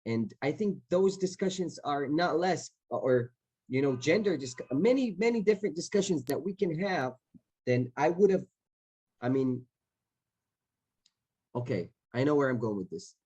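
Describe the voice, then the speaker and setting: A man's voice: a little high, lively intonation, normal speed, darkish tone, slightly rough. Man answering, searching for words. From Israel. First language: Hebrew. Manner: searching for words, frequent restarts. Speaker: actor on a festival panel.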